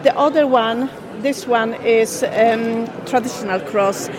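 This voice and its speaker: A woman's voice: a little high, takes it slowly, crowd noise. A woman from Poland, a Polish native, telling the story of her traditional craft in a radio interview in careful, simple phrases. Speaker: craft business owner. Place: busy exhibition hall.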